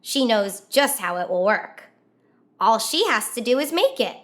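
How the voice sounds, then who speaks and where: A woman reading a children's picture book aloud as a storyteller, expressively and with drawn-out emphasis on key words. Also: on the high side, sing-song, a bit bright. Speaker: children's read-aloud host.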